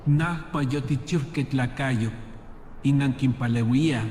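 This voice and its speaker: A man playing a proud, scheming god in a fan-dubbed animated drama, solemn and declamatory in delivery. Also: mid-range voice, takes it slowly, lightly acted. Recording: echoey hall reverb, noisy background.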